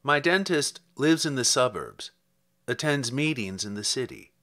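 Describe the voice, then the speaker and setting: A man reading his own literary poems as a poet, with measured, deliberate phrasing and dramatic emphasis. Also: medium pitch, animated, sharp tone.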